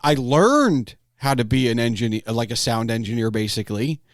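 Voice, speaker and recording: A man chatting casually as a podcast host, telling anecdotes in an animated way and acting out imagined quotes. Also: mid-range voice, normal speed, dry recording, studio quality.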